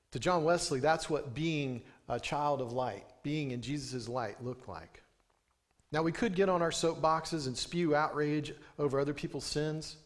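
A man in his thirties, a pastor giving a sermon from a pulpit microphone in a small church, telling stories in an earnest way and lifting his voice for emphasis. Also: a little high. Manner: earnest storytelling with emphatic rising lines. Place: small church sanctuary, indoors.

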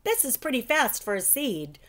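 A cheerful, daring schoolteacher from a children's storybook, acted out by a woman reader. She is exuberant and encouraging, with a raised, very lively voice. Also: a little high, normal speed. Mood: brisk, reassuring.

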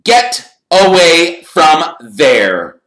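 A reader acts a pompous storybook prefect, an older schoolboy who scolds loudly. The pitch is pushed high and the room echoes. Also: very animated, extremely slow, full character voice. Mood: scolding. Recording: roomy echo.

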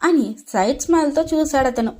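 A woman's voice: high-pitched, moderate pace, a little mellow. A woman storyteller reading a scripted domestic story as narrator.

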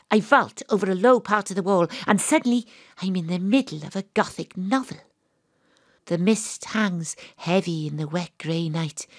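A woman playing a gruff, dogged private detective who tells her own case in a dramatic, atmospheric audio drama delivery. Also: fairly low pitch, dry recording, clean studio sound. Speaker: lead actress and narrator. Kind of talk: first-person audio drama narration.

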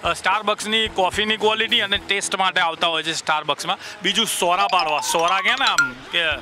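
A man with a high voice runs a casual street interview and fires off playful questions. He holds a handheld mic outdoors on a city street. Music plays under the whole recording. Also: sharp tone. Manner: quick teasing questions.

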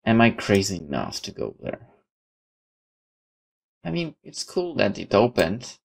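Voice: low-pitched, huge pitch swings, a little slow. A gameplay host reading visual novel text aloud expressively and adding casual comments. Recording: clear background noise.

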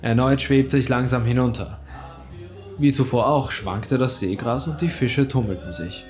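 A man narrates a horror tale. His voice sits at a medium pitch, and he tells the story in a suspenseful, dramatic way. Music plays behind him. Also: moderate pace, darkish tone. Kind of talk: dramatic scripted creepypasta narration. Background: background music.

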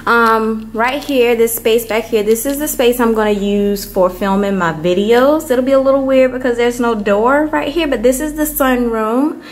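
A woman vlogger giving a casual, unhurried walkthrough of an empty apartment, filmed on a handheld camera. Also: on the high side, a little hiss or hum. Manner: unhurried, chatty, many ums. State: hot and worn out from moving.

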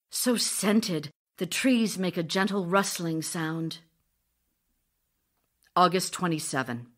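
Slow-speaking, tender grieving widow. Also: female voice, medium pitch. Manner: tender, wistful, emotionally expressive, pausing delivery. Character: grieving widowed actress, tender, longing, distraught. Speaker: actor in an audio drama. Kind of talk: intimate audio-drama monologue of letters and diary.

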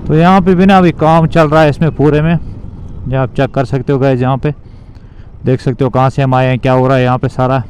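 A man's voice: mid-range voice, steady tone, a little fast, darkish tone. A young man vlogging casually while riding a motorbike through city traffic, talking loosely and repetitively to his viewers. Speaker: moto vlogger.

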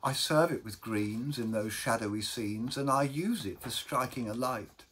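A man voicing a meek, sorrowful baker in a storybook verse reading, telling his woe in a halting, mournful, mild way. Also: mid-range voice, a bit bright, a little hiss or hum, close to an everyday voice. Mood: anguished.

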